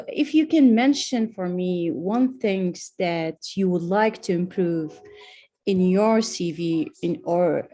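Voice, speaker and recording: A woman's voice: lowish voice, mellow. A woman interviewing a physician in a practice interview over a video call, hesitant and searching for her words. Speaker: interviewer, doctor.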